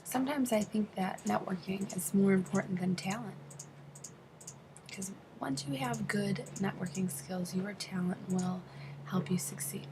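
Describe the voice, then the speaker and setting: A woman working as an interpreter, voicing an interview answer in the first person, phrase by phrase with halting delivery. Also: medium pitch, noisy background. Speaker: sign language interpreter voicing a deaf interviewee.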